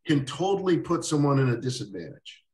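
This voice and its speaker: A man hosting a casual interview, rambling a little, with restarts and soft laughs. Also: medium pitch, sing-song, fairly quick. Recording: roomy echo, distant mic.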